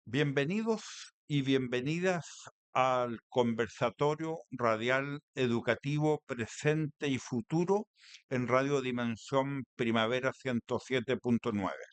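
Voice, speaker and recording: A man with a Chilean accent hosting a radio interview in measured, deliberate phrases. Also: mid-range voice, smooth, no room sound. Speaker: radio host. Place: radio studio.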